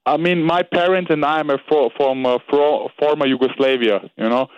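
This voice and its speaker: A young man with an Austrian German accent, a fighter being interviewed, speaking halting English with many "you know"s. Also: fairly high pitch, fairly even intonation, clean tone, dry recording, muffled recording. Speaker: guest fighter. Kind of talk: interview over a call.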